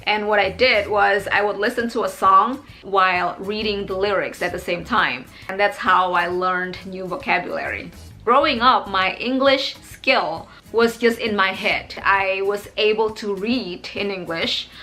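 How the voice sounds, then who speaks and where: A young woman with an Indonesian accent talks openly to her viewers in English, her second language. Her voice is bright and her pitch sits at a middle height. Soft music plays faintly behind her. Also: unhurried. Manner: open, reflective, chatty. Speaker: English teacher vlogger. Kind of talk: casual talk to camera.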